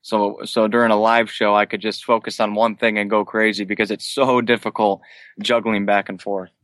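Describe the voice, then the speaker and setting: A man's voice: on the low side, level tone, normal speed, fairly bright tone, no echo. A man in his late twenties, a band vocalist, answering casually as an interview guest. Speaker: guest, band vocalist who sings and screams.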